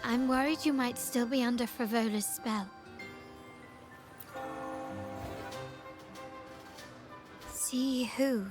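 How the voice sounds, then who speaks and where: A woman's voice: a little high, fairly even intonation, normal speed, lightly acted. A woman voicing a poised, caring young noblewoman in a video game, composed, courteous and assured. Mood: concerned. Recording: music clearly behind the voice. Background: background music.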